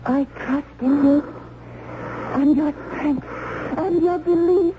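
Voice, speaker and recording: An innocent young condemned maid in a muffled, noisy old radio drama. Her voice is high and lilting, with a breathless, wondering, trusting manner. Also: slow. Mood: trusting, hopeful.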